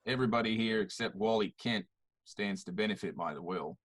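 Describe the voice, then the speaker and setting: A man's voice: fairly low pitch, fairly quick, dry recording, lightly acted. A man playing a pointed, needling murder suspect in an amateur radio-style mystery.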